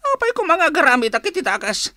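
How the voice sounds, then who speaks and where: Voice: sits high, big pitch swings, fast, pushed and bright, dry recording, studio quality, heavily acted. An actor playing a nervous, flustered household underling who stammers, in an Ilocano-accented radio comedy-drama. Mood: panicked.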